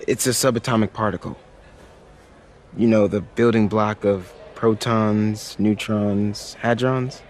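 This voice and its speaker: A man's voice: fairly low pitch, fairly even intonation, takes it slowly, a little hiss or hum. A young man playing an earnest, eager and shy teenage boy in a video game, speaking hesitantly with small stammers. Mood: shy, helpful. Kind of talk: naturalistic game cutscene acting.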